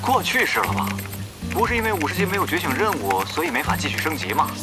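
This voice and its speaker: A man's voice: on the high side, sing-song, quick pace. A man playing a skeptical, sarcastic young online gamer in an audio drama, with a mocking, dramatic manner. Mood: doubtful. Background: music.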